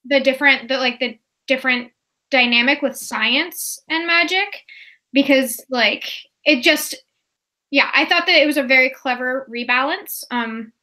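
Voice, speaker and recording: A woman with a high, bright voice chats about books as a co-host. Her delivery is loose and rambling, like talk among friends. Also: measured pace. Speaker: co-host on a readalong live show. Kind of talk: casual book chat.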